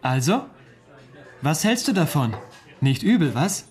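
A man's voice: on the high side, very animated, unhurried. A man playing a cocky, eager young cub reporter in a German radio drama, boastful and insistent. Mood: eager, proud.

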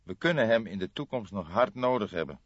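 A man voicing a calm, sombre old police inspector in an audiobook, speaking in a measured, thoughtful way. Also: lowish voice, no echo, muffled recording, pitched above the performer's own voice. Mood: cautious, reasoning.

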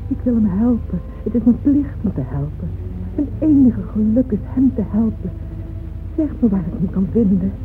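A woman's voice: medium pitch, animated, noisy background, natural voice. Actress as haunted noblewoman, thin phone-like sound. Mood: subdued yearning. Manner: intense, breathy, halting, swelling from hushed to agitated. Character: anguished older Russian noblewoman, devoted, haunted by dreams. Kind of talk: dramatic radio play monologue. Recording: band-limited old radio recording with music. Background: music.

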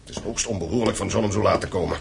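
A man's voice: low voice, very fast, vocal fry, slight background noise. An actor playing a stern, domineering landowning father in a radio play, commanding and formal.

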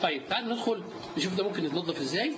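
A man's voice: sits high, rapid-fire. A man with an Egyptian Arabic accent teaching a live class. He keeps quizzing his students as he goes. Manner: lively, quizzes the students often. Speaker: instructor. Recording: dull lo-fi recording with steady noise.

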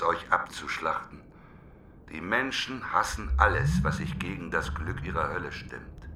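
An actor playing a desperate prophet trapped inside a whale, pleading and bargaining urgently in a radio play. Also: male voice, low voice, bright, forceful tone, full character voice. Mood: grim, resigned. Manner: urgent, declamatory. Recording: echoey, with faint background noise.

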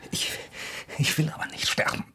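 An actor playing a cornered intellectual writer in a German radio crime drama, reasoning tensely with a killer in a breathy, gravelly voice that often becomes hushed. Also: male voice, normal speed, whispered. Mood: fearful, accusing.

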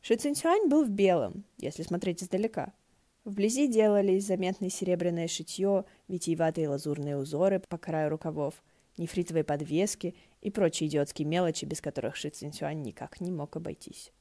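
Женщина выразительно читает художественную прозу как рассказчица аудиокниги. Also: female voice, on the low side, brisk, mellow. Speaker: audiobook narrator. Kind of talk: scripted fiction narration.

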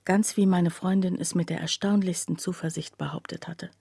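A husky, mellow townswoman narrator. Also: on the low side, fairly even intonation, moderate pace, no echo, studio quality. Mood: quiet, reflective. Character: sombre female townswoman recounting her friend's terrible deed. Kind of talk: radio drama monologue narration.

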